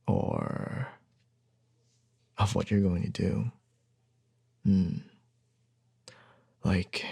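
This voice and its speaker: Man playing a gentle, gravelly, husky-voiced boyfriend. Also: low-pitched, exaggerated voice. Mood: gentle, sympathetic. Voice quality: soft, breathy, husky, often near a whisper. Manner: slow, hesitant, tender, with long pauses. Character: gentle, reassuring young boyfriend comforting his partner. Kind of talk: ASMR boyfriend roleplay, intimate and soothing.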